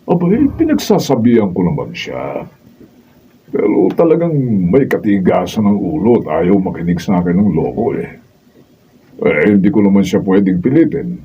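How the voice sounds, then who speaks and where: A man's voice: low voice, sing-song, moderate pace, phone-quality sound, a little hiss or hum. A Filipino man playing an older, indulgent father and grandfather in a radio drama, with thin, distant sound. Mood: tender, resigned.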